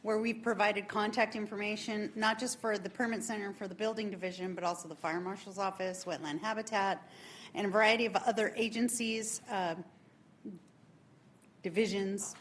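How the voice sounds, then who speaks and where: A woman's voice: mid-range voice, steady tone, normal speed, a bit bright, slight background noise. A woman hosting a public workshop who closes the presentation and answers questions in a polite, explanatory way. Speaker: workshop host.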